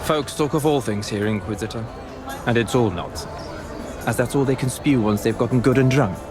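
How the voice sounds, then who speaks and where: An actor playing a talkative, cynical medieval wine seller in a video game, with a folksy, wry storytelling manner. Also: male voice, mid-range voice, brisk, a crowd in the background, lightly acted. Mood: dismissive. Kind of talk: video game cutscene acting. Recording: music behind the voice.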